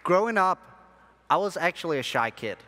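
A young man, born in Sri Lanka and raised in Denmark, giving a keynote speech to a convention audience from a large hall stage with measured, emphatic phrasing. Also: sits high, big pitch swings, sharp tone. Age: young adult. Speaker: conference speaker. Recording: long hall reverb.

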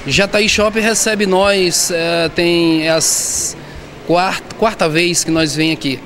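A man, being interviewed as an artisan. He speaks haltingly and often repeats or restarts words. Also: high-pitched, fairly even intonation, fairly bright tone, soft background music. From southern Bahia, Brazil. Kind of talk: street interview. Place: indoor shopping mall. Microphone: handheld reporter mic. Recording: noisy mall background.

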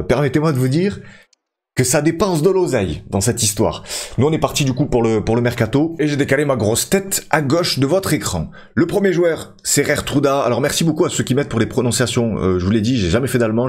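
A man's voice: mid-range voice, darkish tone. A man in his thirties casually commentating his football career game for viewers, chatty and full of fillers. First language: French. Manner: chatty, addresses viewers as friends, many fillers. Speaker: gaming YouTuber.

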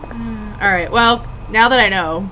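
A woman's voice: medium pitch, measured pace, sharp tone, slight background noise, rough recording. A woman chatting casually and playfully as she plays a video game, exclaiming and talking to herself. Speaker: gamer playing and commenting. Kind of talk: casual let's play chat with co-commentator.

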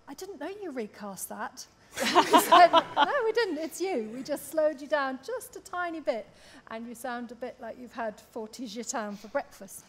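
A woman speaking informally and chattily as a panellist, telling anecdotes. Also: a little high. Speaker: panellist, radio drama director. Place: theatre stage, seated panel. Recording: live stage, clear background noise.

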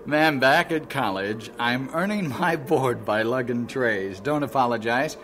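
A man's voice: medium pitch, measured pace, a bit bright, slight background noise, close to an everyday voice. An actor playing an eager young male college student working at a camp.

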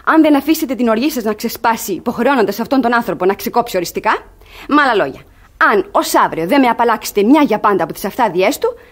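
An actress playing a crafty young ward in a radio theatre comedy, coaxing and feigning wounded virtue with theatrical emphasis. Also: female voice, fairly high pitch. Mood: plaintive protest. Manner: theatrical, emphatic, artfully expressive.

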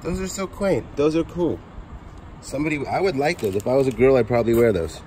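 A man in his forties, chatty and excited, talking to viewers while unboxing outdoors beside storage units. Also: medium pitch, fairly quick. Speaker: storage auction reseller. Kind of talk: casual unboxing vlog. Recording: outdoor noise behind voice.

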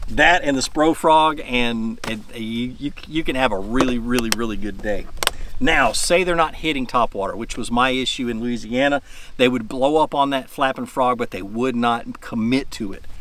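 A man's voice: medium pitch, fairly bright tone. A man, a fishing channel host, talking through his tackle casually from a boat, rambling and repeating words. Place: outdoors on a boat on a lake.

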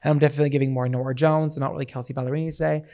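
A man in his thirties with a raspy voice talks into a handheld mic in a small home studio. He chats eagerly and at length about a favorite record, like a co-host running a casual podcast segment. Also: on the high side, little pitch movement, rapid-fire, mellow. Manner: chatty and enthusiastic, gushes about music. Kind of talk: casual chat. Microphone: handheld microphone.

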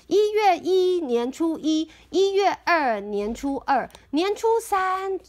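A woman reading a picture book aloud to her child at home, gentle and sing-song, pausing to explain. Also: very high voice, sing-song. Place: home, indoors.

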